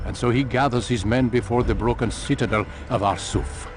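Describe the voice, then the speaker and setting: An actor playing a grave, commanding older master of assassins in a video game, speaking in measured, oratorical phrases. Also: male voice, medium pitch, over music, lightly acted. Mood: stern, instructing.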